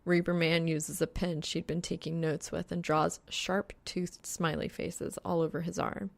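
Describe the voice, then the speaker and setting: A woman's voice: lowish voice, level tone, unhurried, vocal fry, no echo. A woman narrating a scripted story as an audiobook, in a wry, informal manner.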